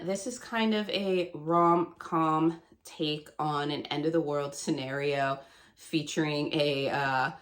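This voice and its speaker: Book vlogger, woman, 30s, low voice, gravelly and nasal with a cold, chatty. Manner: chatty, rambling, laughs often. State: hoarse and stuffy from a cold. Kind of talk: casual chat to camera.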